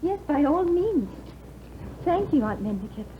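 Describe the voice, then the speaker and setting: Brief: teasing young bride, bright and bouncy, dull 1950s broadcast sound. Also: female voice, sits high, very animated, measured pace, slight background noise. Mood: playful.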